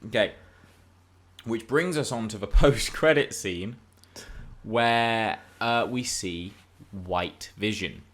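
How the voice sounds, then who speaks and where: A man's voice: lowish voice, slow, a bit bright. A man hosting a podcast, chatting casually about pop culture in an opinionated, theatrical way. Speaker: podcast host.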